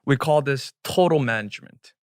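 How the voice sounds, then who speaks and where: A man's voice: medium pitch, moderate pace, slight background noise. A man whose first language is Korean, co-hosting a podcast in English and explaining at length, dropping into Korean phrases now and then. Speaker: podcast co-host. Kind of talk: casual podcast discussion.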